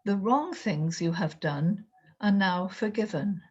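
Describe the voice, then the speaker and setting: A woman voicing a calm, gentle male teacher and healer in a storybook, kindly and assured. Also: mid-range voice, slow pace, slightly rough, dull audio.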